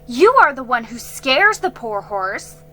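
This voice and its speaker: A woman's voice: fairly high pitch, sing-song, a little slow, bright, forceful tone, slight background noise, full character voice. A woman voicing a brave, stubborn young girl who speaks earnestly and stands her ground. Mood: defiant. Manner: earnest, defiant.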